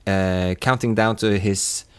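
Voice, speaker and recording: Hesitant man with a lowish voice. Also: steady tone, takes it slowly, clean tone. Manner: hesitant, repeats words. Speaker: sound designer and composer. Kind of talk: casual chat.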